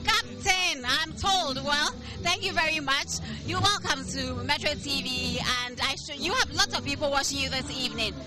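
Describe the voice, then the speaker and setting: A woman reporting live from a festive event, chatty and lively as she interviews guests. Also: sits high, normal speed, hardly any pauses. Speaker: field reporter. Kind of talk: live on-location news report. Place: festive party venue. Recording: music playing behind at an event.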